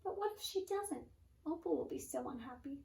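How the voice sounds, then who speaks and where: A woman voicing a kindly, excitable male pig in a storybook, earnest and a bit fretful. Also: high-pitched, fairly quick, gravelly, recorded from a distance. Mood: worried. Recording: roomy echo, away from mic.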